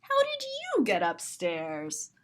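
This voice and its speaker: A woman's voice: medium pitch, huge pitch swings, takes it slowly, a bit bright, full character voice. A woman voicing a puzzled male night watchman in a children's storybook, questioning and exclaiming. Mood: curious.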